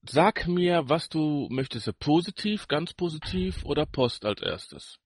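A man's voice: a little high, animated, measured pace, breathy edge, no echo. A man co-hosting a podcast in casual banter, with a teasing, jokey manner. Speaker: podcast co-host.

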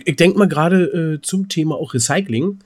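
A man's voice: a little high, moderate pace. A man chatting casually as a podcast co-host, in a joking, rambling manner. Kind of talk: casual chat.

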